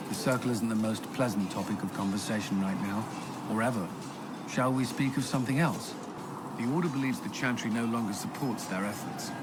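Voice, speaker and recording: A fairly deep male voice over loud music. A man acts out an earnest former templar turned commander in a fantasy game. He is formal, reserved and measured in how he speaks. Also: close to an everyday voice. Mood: awkward, apologetic, then sober, sympathetic. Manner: formal, measured, awkwardly hesitant when flustered. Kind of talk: video game character dialogue.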